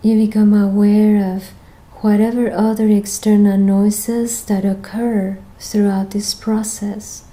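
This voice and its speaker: Slow, mellow woman guiding meditation. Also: medium pitch, little pitch movement, rough recording. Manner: gentle, soothing, unhurried instruction with long pauses. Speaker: meditation guide. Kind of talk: guided meditation.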